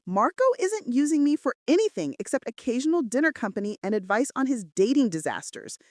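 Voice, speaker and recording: Woman acting defiant, emphatic female assistant, animated pitch. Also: fairly quick, dry recording, studio quality. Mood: angry, defiant. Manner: emphatic, outspoken. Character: defiant, loyal young female assistant to a crime boss. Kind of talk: dramatic romance audiobook dialogue.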